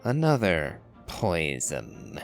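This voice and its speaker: A man giving wry, measured, articulate commentary on a game's story. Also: low-pitched, wildly swooping pitch, creaky. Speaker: gamer, channel host. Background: game music.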